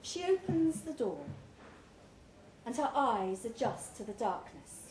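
A woman narrates a short story live in a pub, in a fairly high voice. She takes her time, leaving measured dramatic pauses. The room echoes around her, with some noise behind. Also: big pitch swings, darkish tone. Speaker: story reader. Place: pub, live reading event.

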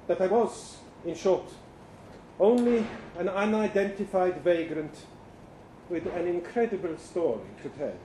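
A man performs an earnest, bewildered time-travelling professor in a story reading. His voice is high and soft-edged, with formal, precise phrasing that halts and hesitates. It sounds like a live reading in a roomy space. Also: animated. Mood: resigned, precise. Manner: formal, hesitant, precise diction with halting pauses. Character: earnest, bewildered male professor claiming to be from the future. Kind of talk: dramatic first-person short story reading. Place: indoor live literary reading event. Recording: roomy live venue, faint background noise.

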